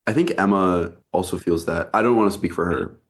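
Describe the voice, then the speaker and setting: A man's voice: deep voice, brisk. A man in his twenties, a band member, talking through his thoughts in a rambling way with lots of fillers. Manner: rambling, thinking aloud, many fillers. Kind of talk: casual interview talk.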